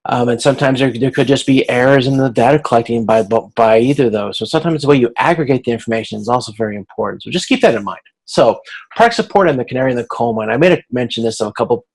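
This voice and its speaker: A man gives informal class feedback as an instructor. His voice has heavy vocal fry and a gravelly grain, at a middling pitch. He explains things conversationally, in a halting way that starts and stops as he works through each point. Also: poor audio. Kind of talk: informal recorded lecture in a video conference.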